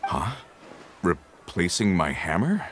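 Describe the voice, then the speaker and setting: A man's voice: sits low, wildly swooping pitch, slow pace, a little hiss or hum, exaggerated voice. A man voicing a weary, humble working father in a video game, hesitant and plain-spoken. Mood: thoughtful. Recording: game music behind the voice.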